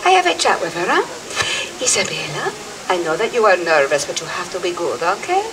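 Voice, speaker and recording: A woman's voice: lowish voice, big pitch swings, brisk. A woman performing as an old Italian Christmas witch, telling a story to a crowd in Italian-accented English with teasing tag questions. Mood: playful, theatrical. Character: La Befana, old Italian gift-giving witch, playful and theatrical. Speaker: theme park storyteller. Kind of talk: live street performance to an audience. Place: outdoor theme park plaza. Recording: distant voice over a crowd outdoors.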